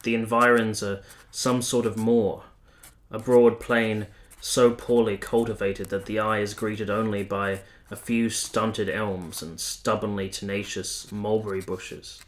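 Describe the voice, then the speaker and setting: Measured romantic army captain, played by a man. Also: lowish voice, level tone, close to an everyday voice. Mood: reflective, poetic. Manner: measured, poetic, sincere. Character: romantic, reflective young army captain and lover. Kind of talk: radio play acting, literary first-person narration.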